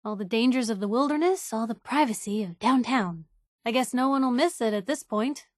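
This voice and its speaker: A woman's voice: a little high, a little fast, no echo, clean studio sound, lightly acted. An actress playing a plucky, sardonic young woman reporter in a post-apocalyptic video game, with a wry, sassy, informal way of talking. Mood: wry, observant. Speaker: video game companion character. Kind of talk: video game voice acting, conversational one-liners.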